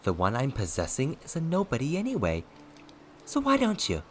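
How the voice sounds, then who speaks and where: A man's voice: low-pitched, very animated, measured pace, a little hiss or hum. A man voicing a gleefully unhinged, cocky male ghost who mocks and taunts in an audiobook story. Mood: childish mockery. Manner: cocky, mocking, manic, shouting and swooping.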